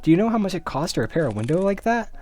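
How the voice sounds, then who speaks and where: A man's voice: high voice, sing-song, racing, darkish tone, voice pushed up. A man voicing a brash, teasing detective's partner who scolds and cares, in a visual novel. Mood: exasperated, mocking.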